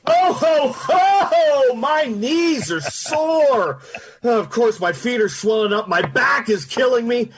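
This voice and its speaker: A man's voice: extremely high pitch, expressive, slow pace, slight background noise, heavily acted. A man playing a weary, aching department-store Santa in an old-time radio drama, jolly but grumbling, with theatrical delivery.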